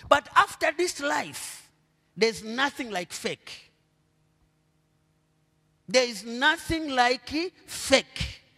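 Zambian man, pastor, high voice, lively pitch, handheld mic, church hall reverb. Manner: preaching with call-and-response questions to the congregation; swings between low murmurs and loud drawn-out proclamations. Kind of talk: sermon. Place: church stage, indoors.

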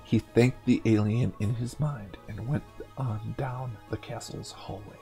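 A middle-aged man hosting a gaming show, reading a story aloud dramatically and dropping in wry, joking asides, with a gravelly, husky voice. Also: fairly low pitch, fairly even intonation, a little slow, music in the background.

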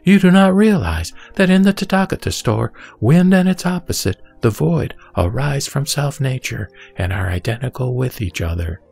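A man reciting scripted scripture in a measured, didactic manner. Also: medium pitch, big pitch swings, gravelly, a little mellow, breathy edge. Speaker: sutra reciter. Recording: background music under the voice. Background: music.

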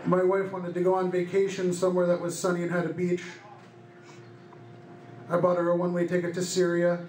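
A young man doing deadpan stand-up comedy in short one-liners into a handheld microphone on a club stage. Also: high voice, brisk. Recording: echoey club room with loud noise. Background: loud noise, audience laughter.

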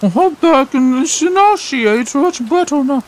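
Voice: high voice, animated, unhurried. A performer voicing a chatty, apologetic, eager young woman who rambles and corrects herself in a comedic amateur redub. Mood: earnest, chatty. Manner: rambling, chirpy, self-correcting.

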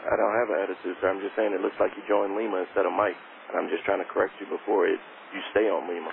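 Rapid, low, monotone male ground controller, radio. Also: creaky. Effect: aviation radio. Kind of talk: radio instructions. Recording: noisy narrowband air traffic radio.

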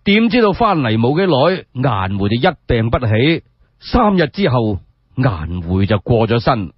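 Animated Cantonese male storyteller, husky voice. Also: medium pitch, dry recording. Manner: expressive, measured, with rhetorical asides. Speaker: solo storyteller. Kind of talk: dramatic scripted narration, radio storytelling. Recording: dull lo-fi radio recording.

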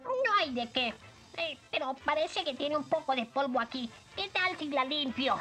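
A woman's voice: high voice, animated, normal speed, pushed and bright, faint music behind. A woman playing a small, bumbling male magician creature in a dubbed cartoon, chirpy and eager. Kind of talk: cartoon dub acting.

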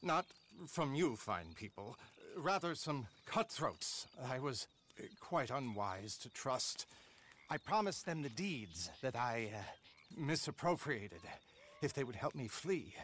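An actor playing a flustered, genteel politician on the run, hesitant and pleading, in a western game. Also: male voice, medium pitch, big pitch swings, slow, fairly bright tone, slight background noise. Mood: anxious. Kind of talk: dramatic western game acting.

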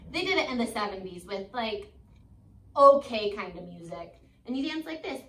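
Young american lady teacher reading to little kids, super swoopy voice, echoey classroom kinda far from the mic. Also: mid-range voice. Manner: animated, expressive, deliberate, questioning young listeners. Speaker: elementary school teacher. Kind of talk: children's picture book read-aloud with chatty asides. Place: school classroom, indoors. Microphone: phone camera a few feet away. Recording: roomy classroom, mic at a distance.

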